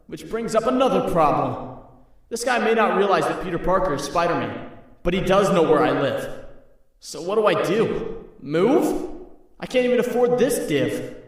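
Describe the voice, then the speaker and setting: Guy doing anxious young superhero for a comic dub, self-doubting, kinda high voice, far from the mic. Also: natural voice. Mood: pensive, uneasy. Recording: very echoey room, distant from mic.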